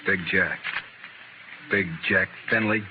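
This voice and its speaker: An actor in an old radio western playing a courtly, deadly, ailing American gunfighter, polite and coolly sardonic. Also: male voice, deep voice, flat delivery, takes it slowly, phone-quality sound. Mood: dryly sociable. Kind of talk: 1950s radio western acting. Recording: thin, noisy old radio recording.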